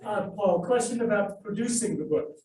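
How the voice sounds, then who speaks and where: A man's voice: high voice, expressive, moderate pace. A man in the audience asking a question in several parts. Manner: asking a multi-part question. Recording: echoey room from far off, faint noise.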